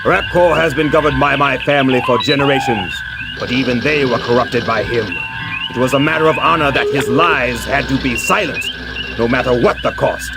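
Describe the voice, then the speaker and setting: Villainous alien fleet commander, sci-fi game, male actor: rasping growl, imperious, formal, unyielding. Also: mid-range voice, moderate pace, very noisy, natural voice. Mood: self-righteous. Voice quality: gravelly. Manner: stern, declamatory, formal. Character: menacing alien warrior admiral, imperious and unyielding. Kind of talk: scripted science fiction game acting. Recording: loud music under game dialogue.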